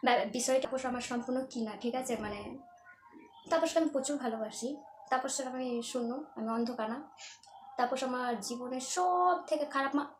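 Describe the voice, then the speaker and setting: Young woman, slightly high voice, echoey room, over-the-top pleading on a prank call. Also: normal speed. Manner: pleading, theatrical, insistent. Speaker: vlogger making a prank call. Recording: echoey room with faint hiss.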